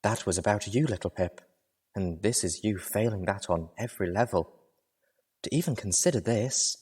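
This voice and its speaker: A man voicing a refined, compassionate female unicorn singer who speaks softly and with reproach. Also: on the low side, moderate pace, husky. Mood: reproachful, regretful. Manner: reproachful, soft.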